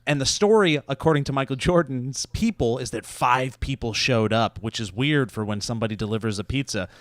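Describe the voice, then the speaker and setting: A man telling stories and pitching ideas casually on a podcast, digressive and loosely conversational, with some swearing. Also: a little fast, dry recording. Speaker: podcast host. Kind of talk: casual comedic storytelling and pitching.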